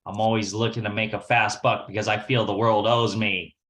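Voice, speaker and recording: A man's voice: on the low side, level tone, brisk, fairly bright tone, natural voice. An older man playing a greedy, ne'er-do-well thug nephew in a radio drama. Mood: resentful.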